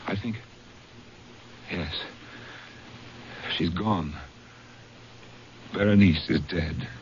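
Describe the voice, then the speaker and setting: An actor playing a horrified, unhinged man, halting and overwrought, in a radio horror play. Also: deep voice, a little mellow, exaggerated voice. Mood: stunned horror. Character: horrified, unhinged man before a dead woman. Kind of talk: old-time radio horror acting. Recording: dull, noisy old radio recording.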